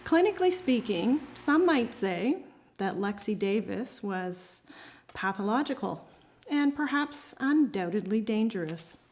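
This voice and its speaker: Woman, amateur author presenting her own writing aloud; medium pitch. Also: sing-song, measured pace, darkish tone. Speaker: amateur writer reading at an open mic. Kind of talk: reading own short story aloud to a group.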